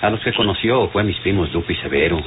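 Low-voiced Mexican outlaw's sidekick. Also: male voice, expressive, quick pace. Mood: matter-of-fact. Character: plain-spoken male companion of a fugitive outlaw. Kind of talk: old Mexican radio serial acting. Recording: noisy, tinny old radio serial recording.

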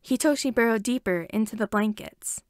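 A woman's voice: fairly high pitch, normal speed, dry recording, studio quality. A woman narrating a scripted story as an amateur audiobook reader.

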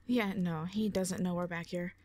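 A woman's voice: on the low side, steady tone, normal speed, a little mellow, no echo, natural voice. A woman playing a blunt, protective warrior, sardonic but caring, in an audio roleplay drama. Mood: firm concern. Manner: blunt, dry, foul-mouthed.

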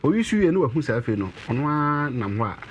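Animated Ghanaian man pitching herbs. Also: fast talker, slight background noise. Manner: animated, explaining and gesturing with products. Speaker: herbal medicine representative. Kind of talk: promotional studio interview. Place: TV and radio studio.

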